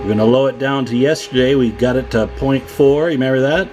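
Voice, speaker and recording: A man's voice: medium pitch, quick pace, music in the background. A man talking casually and colloquially to viewers as he narrates a hands-on technical demonstration, with chatty asides. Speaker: radio repair technician, video host.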